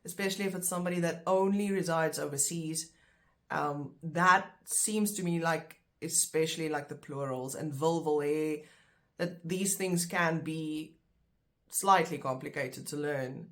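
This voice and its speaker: A woman, an Afrikaans speaker, gives a casual online lesson. Her voice sits a little low, and she explains things step by step with easy fillers. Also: a little slow, fairly bright tone. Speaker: language teacher.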